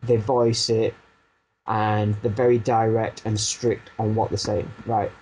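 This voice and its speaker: A man's voice: lowish voice, level tone, measured pace, a little mellow, slight background noise, low-quality recording. A man in his thirties with a Barnsley Yorkshire accent, a music producer turned coach, chatting casually in an interview and talking in loose, self-deprecating restarts. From Barnsley, England. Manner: rambling, self-deprecating, frequent restarts and fillers.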